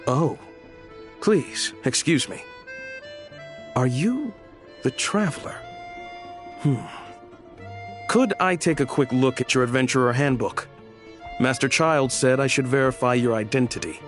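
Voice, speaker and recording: A man's voice: mid-range voice, unhurried, pauses often, faint music behind, natural voice. A man playing a polite, dutiful undercover guard in a video game, speaking in a formal, reassuring way. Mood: polite, cautious.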